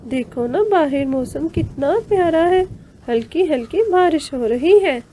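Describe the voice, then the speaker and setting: A woman's voice: high-pitched, animated, measured pace, mellow, slight background noise, pitched above the performer's own voice. A woman voicing a spoiled little girl bird, childish and sweetly demanding, in a cartoon. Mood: wheedling. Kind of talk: Hindi cartoon story voice.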